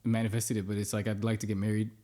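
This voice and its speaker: A man's voice: lowish voice, very fast. A man in his thirties chatting casually as a podcast guest, in an informal, easygoing way with frequent laughter. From born in the United States, grew up in many countries. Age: 30s. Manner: laughs often, relaxed and informal. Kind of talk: casual chat.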